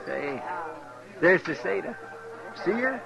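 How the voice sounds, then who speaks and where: A man's voice: sits high, very animated, takes it slowly, gravelly, dull audio, over music, heavily acted. A man playing an eager, simple-hearted frontier deputy in a 1950s radio western, with an earnest rural American drawl. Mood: bashful, playful. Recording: noisy old radio recording.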